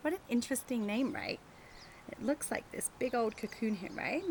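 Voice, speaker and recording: A woman teacher doing an animated read-aloud of a picture book for young children, chatting and asking them questions as she goes. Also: on the high side, normal speed, a little hiss or hum. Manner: engaging the children with questions and tag questions.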